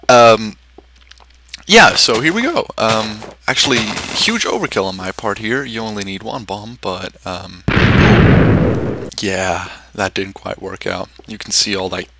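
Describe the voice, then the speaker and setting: A young man from Switzerland, giving casual commentary over his own gameplay and rambling with frequent pauses. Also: on the low side, creaky, noisy background. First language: German. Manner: rambling, many ums and restarts. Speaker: game video creator.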